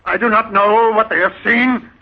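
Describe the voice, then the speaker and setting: An actor in a 1930s radio drama playing a cunning male beggar leader who poses as a god, in a commanding, measured way. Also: high voice, fairly even intonation. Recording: muffled 1930s radio broadcast with music.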